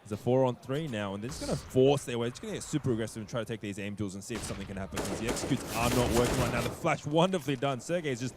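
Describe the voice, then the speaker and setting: A man doing rapid, excitable play-by-play commentary on a match. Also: mid-range voice, heavy background noise. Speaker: esports caster.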